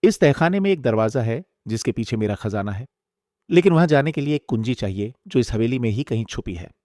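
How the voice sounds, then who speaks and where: A man plays the ghost of a long-dead king in a horror storybook. He speaks gravely and solemnly, in firm declarations. The sound is dry and close, without echo. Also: medium pitch, lively intonation, brisk, darkish tone, lightly acted. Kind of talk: scripted horror storybook reading.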